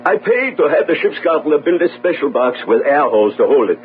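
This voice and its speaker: Anguished sailor, swooping voice. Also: male voice, a little high, sing-song, brisk. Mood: pleading, defensive. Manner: earnest, defensive, emphatic. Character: poor, guilt-ridden sailor, anxious and pleading. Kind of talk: radio drama acting. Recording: narrow phone-like radio audio, faint noise.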